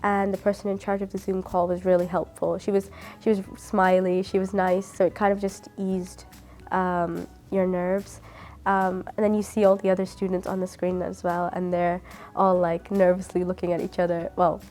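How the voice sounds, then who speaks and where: A woman's voice: lowish voice, level tone, normal speed, dark tone, soft background music. A woman from the UK, a dentistry student, chatting through her answers with many fillers. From United Kingdom. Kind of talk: interview answers.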